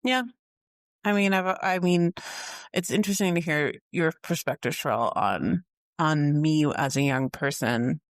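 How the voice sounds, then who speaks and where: A woman's voice: sits low, unhurried, no echo, studio quality. A woman co-hosting a podcast, talking earnestly and thoughtfully, often with a laugh. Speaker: podcast co-host. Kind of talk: casual reflective chat.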